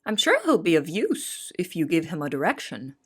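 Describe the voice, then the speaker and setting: A woman's voice: deep voice, huge pitch swings, moderate pace, a bit bright, husky, exaggerated voice. A woman playing an earnest young cook in an audio drama, narrating in formal, literary wording. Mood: polite, eager. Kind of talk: audio drama first-person narration and dialogue.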